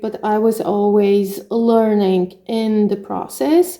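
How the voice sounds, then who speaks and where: A woman's voice: fairly high pitch, mellow. A middle-aged woman with a Hungarian accent, telling her own story as a spiritual coach in earnest short phrases. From Romania. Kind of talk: personal story monologue.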